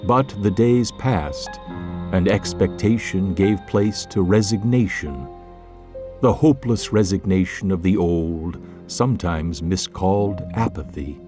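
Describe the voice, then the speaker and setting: Deep, slow male narrator. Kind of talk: scripted literary narration, measured.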